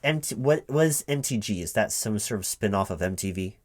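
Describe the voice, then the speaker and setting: A man speaking aloud in a one-sided ASMR job interview roleplay as a recruiting manager, halting and repeating himself as if puzzled. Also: lowish voice, animated, darkish tone. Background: computer keyboard typing.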